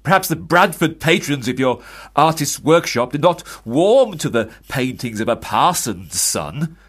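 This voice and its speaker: An older parson father, severe and formal. His husky delivery swings widely in pitch as he reproaches his grown son with biting sarcasm. It is period radio drama. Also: very animated, a little slow, a bit bright, heavily acted. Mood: sarcastic.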